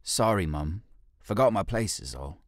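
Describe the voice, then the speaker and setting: A man's voice: sits low, animated, a little slow, dry recording, studio quality, pitched above the performer's own voice. A man voicing a scruffy male ape watchman in an audiobook, servile yet cheeky and rustic in manner. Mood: grudging, servile.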